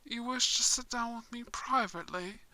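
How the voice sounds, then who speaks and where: A woman voicing a courtly, smug vampire prince in a romantic roleplay, with formal, measured, suave phrasing. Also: fairly high pitch, pushed and bright, dry recording. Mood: courtly, considering. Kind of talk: scripted roleplay ASMR.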